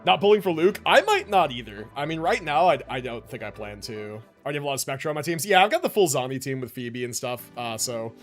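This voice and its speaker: A man's voice: medium pitch, sing-song, fast, hardly any pauses, soft background music. A man casually commentating a live game stream, chatting with viewers in rambling, informal gamer slang.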